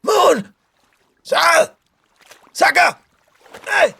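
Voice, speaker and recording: A man voicing a frantic, desperate sorcerer in an audiobook, with a strained, shouting voice. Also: very high voice, sing-song, extremely slow, gravelly, voice pushed up, heavily acted. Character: desperate male sorcerer drowning in icy water, frantic.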